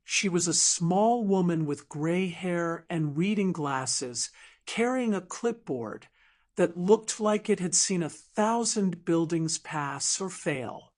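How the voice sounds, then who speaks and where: A woman narrating an audiobook drama in a measured, emotive storytelling manner with deliberate pauses. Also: fairly low pitch, animated, a little mellow.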